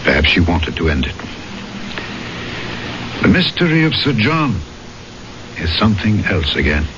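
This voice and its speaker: Low, brooding official in radio drama. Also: male voice, big pitch swings, measured pace. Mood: brooding, grave. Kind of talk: 1970s radio drama acting. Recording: noisy, dull old radio recording.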